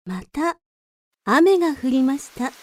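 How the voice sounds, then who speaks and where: A woman storyteller reads a children's fairy tale. Her voice sits very high, and she delivers the narration as a scripted picture story for small listeners. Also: very animated, takes it slowly, a little hiss or hum.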